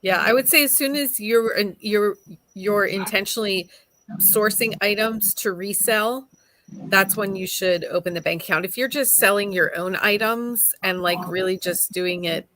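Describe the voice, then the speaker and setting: A woman's voice: mid-range voice, normal speed, fairly bright tone, dry recording, rough recording. A woman from Pennsylvania with an American accent, chatting casually as co-host of a live show. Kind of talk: casual chat.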